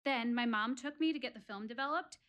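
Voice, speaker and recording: High-voiced, wistful woman in a stage monologue, acted. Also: close to an everyday voice. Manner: deliberate, expressive, confiding. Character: reflective, wistful woman quizzing her audience about life. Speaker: actress in a one-woman show. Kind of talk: theatrical dramatic monologue addressed to an audience.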